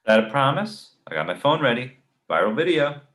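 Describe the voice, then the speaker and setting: A man's voice: medium pitch, big pitch swings, a little fast, a bit bright. A man acting a blunt, slangy friend glued to his phone in a table read. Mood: eager, teasing.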